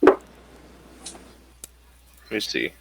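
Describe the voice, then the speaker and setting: A man acting as game master of a tabletop role-playing game, relaxed and quick to laugh. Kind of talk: running a tabletop game online.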